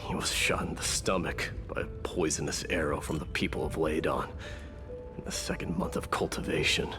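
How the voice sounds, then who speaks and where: An actor voicing a stoic exiled warrior prince in a video game, speaking in a solemn, measured, reflective manner as he mourns fallen comrades. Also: a bass voice, steady tone, music in the background. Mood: grave, sorrowful. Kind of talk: dramatic scripted game narration.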